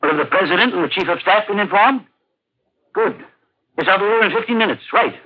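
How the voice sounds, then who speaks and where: An actor playing an earnest, authoritative army general in a 1950s radio drama, brisk and weighty. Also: male voice, sits high, background noise, lightly acted. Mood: urgent, grave. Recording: muffled old radio recording.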